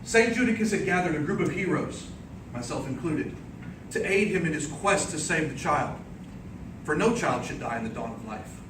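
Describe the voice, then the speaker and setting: A man delivering a theatrical speech as an epic tale, with dramatic, declamatory and deliberate phrasing. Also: fairly high pitch, expressive. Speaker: speech contest speaker. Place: indoor room before an audience. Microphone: distant microphone. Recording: roomy, distant microphone, clearly noisy background.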